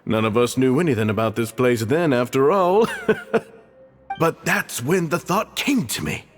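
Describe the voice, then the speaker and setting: Man acting earnest society founder, mid-pitched, very lively intonation, deferential, courteous, game dialogue with background music. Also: moderate pace, lightly acted. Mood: honored, eager. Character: earnest, deferential male leader of a prison self-help club.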